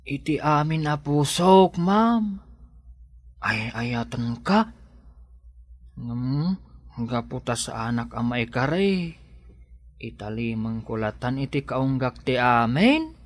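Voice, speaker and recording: A man's voice: mid-range voice, very animated, slow, pauses often, no room sound, clean studio sound. Man playing a humble Ilocano young sweetheart. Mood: devoted, earnest. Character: humble, devoted poor young man in love. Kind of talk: audio drama acting.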